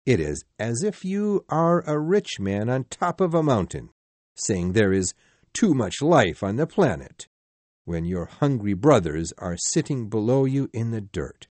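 A man's voice: medium pitch, sing-song, unhurried, a little mellow, no room sound. A man voicing a solemn, righteous male ghost who lectures with stern reproach. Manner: reproachful, preaching.

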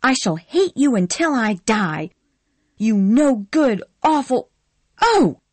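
Woman playing a hot-headed young girl, high and loud, snapping furiously. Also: lively intonation, normal speed, husky, no room sound. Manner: sharp, furious, shouting. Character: fiery young woman, hot-tempered and proud. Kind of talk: audiobook character reading.

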